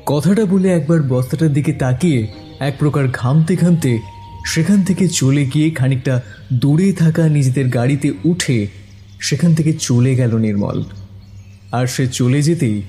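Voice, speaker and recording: A man's voice: a little high, measured pace, dark tone, faint music behind. A man narrating a scripted horror story as its storyteller.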